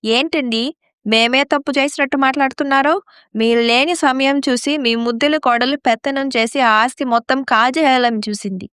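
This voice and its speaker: Woman acting bitter young wife, sharp tongue, highish clear voice, family drama story. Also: steady tone, moderate pace, dry recording, clean studio sound. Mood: defiant, accusing. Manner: accusing, defiant. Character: resentful, sharp-tongued young daughter-in-law.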